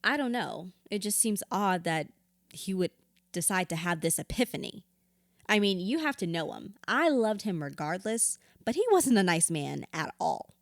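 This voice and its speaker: A woman's voice: medium pitch, animated, moderate pace, dry recording, clean studio sound, close to an everyday voice. A woman acting in an audio drama as a grieving widow in therapy, wounded and candid, reflective with a wry edge. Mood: pensive.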